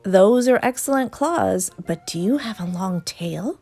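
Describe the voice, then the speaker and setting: A woman's voice: medium pitch, expressive, a little slow, darkish tone, soft background music. A woman voicing a picky, giggly child in a children's storybook, speaking in a questioning, insistent way. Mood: questioning, unimpressed. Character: picky, giggly child daring monsters to scare them.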